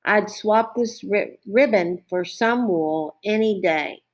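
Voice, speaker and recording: Woman as a plaintive, slow-speaking storybook crow. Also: mid-range voice, lightly acted. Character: nesting crow wishing for comfort. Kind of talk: storybook character voice.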